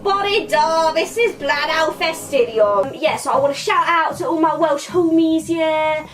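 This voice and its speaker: Young woman, high voice, slow slangy swagger, recorded from across a small bedroom. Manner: slangy put-on tough-kid swagger, sing-song chants. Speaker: comedy vlogger. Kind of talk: comic character monologue. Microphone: camera a few metres away. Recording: distant mic in a small room.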